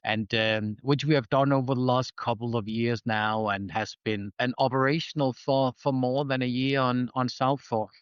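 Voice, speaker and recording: Danish-accented man, smooth and dry. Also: mid-range voice, no room sound, highs cut off. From Denmark. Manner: earnest, repeats words while thinking. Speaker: guest, energy company executive. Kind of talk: interview answers.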